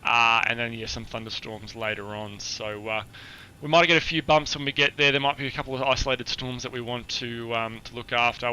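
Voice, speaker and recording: A hoarse, husky-voiced man giving a chatty, explanatory flight briefing on a live stream, with many filler words. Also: mid-range voice, sharp tone, slight background noise, low-quality recording.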